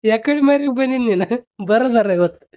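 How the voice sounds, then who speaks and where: A man's voice: extremely high pitch, normal speed, darkish tone, clean tone. A man with a North Karnataka accent on a phone call, needling and scolding as he repeats his questions in casual banter. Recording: noisy, band-limited phone call recording.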